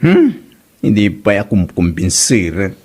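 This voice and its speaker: A man's voice: low-pitched, sing-song, slow pace, darkish tone, slightly rough, full character voice. A man with an Ilocano accent acting a son who pesters his mother in an amateur comedy drama. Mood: complaining. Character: adult son pestering his mother about their barking dog.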